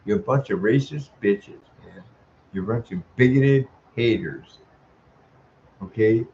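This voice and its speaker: A man ranting in a profane monologue, ending sentences with "man," chuckling, and stalling on drawn-out "uh" sounds. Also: fairly low pitch, mellow, lo-fi sound, a little hiss or hum. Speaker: vlogger.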